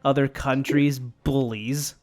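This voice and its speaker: A man's voice: mid-range voice, steady tone, slow, over music. A man in casual banter with friends, tossing in crude, sarcastic interjections. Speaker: co-commentator.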